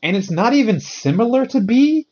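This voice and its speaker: A man who teaches English in Japan, chatting casually on a podcast and explaining with animated frustration. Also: very high-pitched, normal speed, highs cut off.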